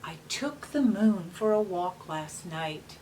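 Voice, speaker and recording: Female children's read-aloud host, very unhurried and patient, simple explanatory phrasing, recorded off-mic with slight noise. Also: on the low side, animated, mellow. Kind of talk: gentle explanatory talk and picture-book read-aloud for young children. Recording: distant mic with faint background noise.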